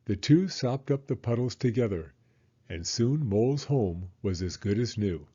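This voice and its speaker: A man reading a children's story aloud with expressive narration. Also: lowish voice, unhurried, breathy edge, highs cut off.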